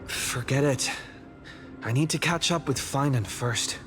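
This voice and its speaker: Puzzled young game adventurer a man. Medium pitch, questioning, slow internal monologue over music. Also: fairly bright tone, big-room sound, lightly acted. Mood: confused, frustrated. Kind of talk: English video game voice acting, inner monologue. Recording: music behind.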